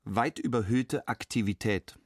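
A man's voice: fairly low pitch, unhurried, very noisy. An actor playing a detached, clinical man who reads out a checklist in clipped phrases in a radio play.

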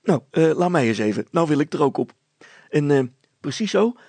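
A man voicing an excitable middle-aged inventor and father in a story, anxious and overjoyed, stammering and repeating himself. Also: fairly high pitch, moderate pace, husky, no room sound, voice pushed up. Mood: overjoyed, excited.

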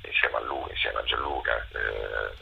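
Very deep, gravelly man, speaking haltingly. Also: steady tone, dull audio, a little hiss or hum. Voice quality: gravelly, husky. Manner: halting, searching for words, with many pauses. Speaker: interviewed theatre director and performer. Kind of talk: reflective interview answers.

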